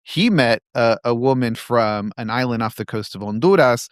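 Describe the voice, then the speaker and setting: A man's voice: medium pitch, animated, normal speed, dry recording. A man from San Diego, a guest on a studio podcast, telling his story in a reflective way. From San Diego, United States. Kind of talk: casual interview.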